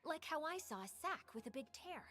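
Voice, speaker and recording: A woman's voice: high voice, sing-song, a little fast, sharp tone, faint music behind, exaggerated voice. A woman voicing a polite, composed young female investigator with an analytical manner in a video game.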